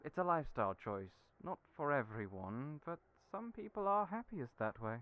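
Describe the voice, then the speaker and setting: A man voicing a young friend who talks earnestly and encouragingly, raised in pitch from his own voice. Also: very animated, normal speed, natural voice. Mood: explaining. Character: warm, reassuring friend, young adult. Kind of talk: audio roleplay acting. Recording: tinny phone-like audio.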